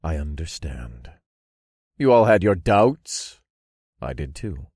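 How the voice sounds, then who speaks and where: Man playing satanic dark lord, very low voice, grandiose, commanding, fantasy audiobook, dry close sound. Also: very animated, slow pace, breathy edge, dry recording, exaggerated voice. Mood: magnanimous.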